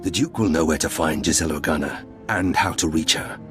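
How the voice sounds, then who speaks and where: An actor playing a duke's polite, formal henchman in a video game, speaking in a measured way. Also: rumbling low, music in the background. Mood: coolly insistent. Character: smooth-talking, formal henchman of a duke. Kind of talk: video game character acting.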